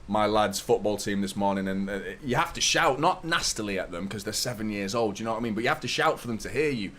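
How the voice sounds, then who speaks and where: A man in his thirties with a slightly hoarse voice, hosting a live football fan stream, chatting to viewers and ranting with strong opinions. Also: fairly low pitch, lively intonation, quick pace, a bit bright. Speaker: host of a football fan watchalong. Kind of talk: live stream chat and commentary.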